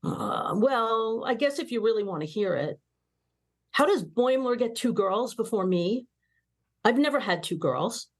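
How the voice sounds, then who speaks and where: A woman's voice: a little high, lively intonation, a little slow. A woman reading the part of a blunt, sarcastic young female starship officer in a comedic table read. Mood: grudging. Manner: sarcastic, exclamatory.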